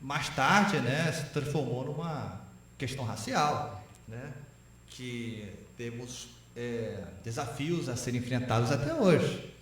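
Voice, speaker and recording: A man with a Brazilian accent giving reflective interview answers, halting at times and stressing his points. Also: medium pitch. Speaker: interview guest, philosopher. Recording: very echoey room.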